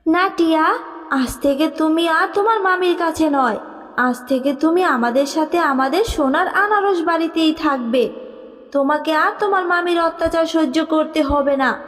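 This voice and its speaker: A loving fairy mother from a cartoon, protective and tender in her speech, with a woman acting her out. Her voice is high for a woman, soft and motherly. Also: normal speed, long reverb tail. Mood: gentle.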